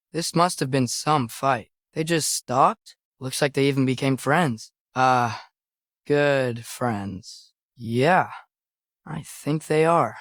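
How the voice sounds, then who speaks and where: A man's voice: on the high side, fairly bright tone, no echo, clean studio sound. A young man playing an earnest, headstrong teenage boy adventurer in a video game, with a light youthful voice and a casual, hesitant way of talking. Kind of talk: video game character acting.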